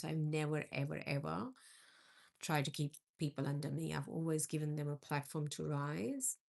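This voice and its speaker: A woman's voice: deep voice, vocal fry. A middle-aged woman from India hosting a live stream, chatting with viewers and answering their comments. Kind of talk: casual live-stream chat.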